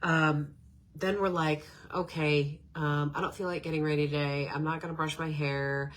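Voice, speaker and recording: A woman's voice: sits low, level tone, moderate pace. A middle-aged woman with a stuffed-up nose and a nasal voice, hosting a casual, rambling self-help talk with many fillers. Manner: chatty and rambling, with frequent fillers. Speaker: podcast host.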